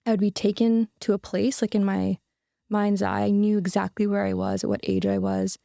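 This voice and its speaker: A woman's voice: medium pitch, little pitch movement, moderate pace, gravelly, warm tone, no echo, clean studio sound. A young adult woman, a podcast guest, telling a personal story in an earnest, casual way with many fillers and restarts.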